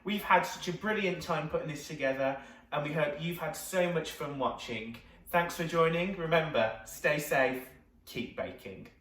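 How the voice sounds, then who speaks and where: A woman's voice: sits low, moderate pace, fairly bright tone. A woman hosting a cooking show, heard in an echoey room from a distance. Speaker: host.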